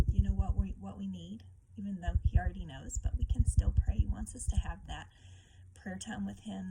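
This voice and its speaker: A woman hosting a devotional read-aloud for children, talking casually and explaining gently like a teacher. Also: mid-range voice. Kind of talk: casual devotional talk and story reading. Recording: clear background noise with clicks.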